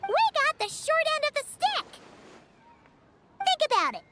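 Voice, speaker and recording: A woman voicing a tiny, excitable, childlike fairy companion in a video game, squeaky and exclamatory, naive and a little greedy. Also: measured pace, heavy background noise, full character voice. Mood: eager. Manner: speaks of herself in the third person, exclamatory. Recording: loud music behind the voice.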